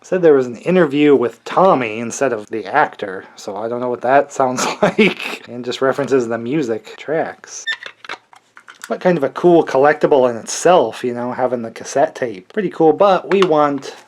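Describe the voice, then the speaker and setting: A man's voice: mid-range voice, lively intonation, normal speed, slight background noise. A man around his thirties or forties giving casual, chatty commentary as he hosts a collector's unboxing video, with wry, joking asides.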